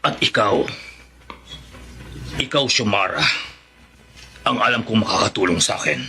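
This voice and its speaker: A man's voice: lowish voice, expressive, unhurried, creaky, background noise. A man acting a jealous, stubborn old grandfather and ex-governor in a Filipino radio drama, with an indignant, emphatic delivery. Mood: vengeful, scheming.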